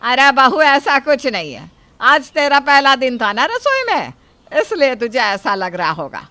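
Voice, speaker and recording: A woman doing a sharp, animated older mother-in-law. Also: sits high, dry recording, full character voice. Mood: soothing. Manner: emphatic, drawn-out, theatrical. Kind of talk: acted dialogue in a Hindi moral story.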